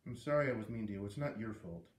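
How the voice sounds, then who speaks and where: A man's voice: lowish voice, fast, close to an everyday voice. A man voicing a hurt, defensive young schoolgirl in a storybook read-aloud, plaintive and blurting as she confides. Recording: roomy echo, distant microphone.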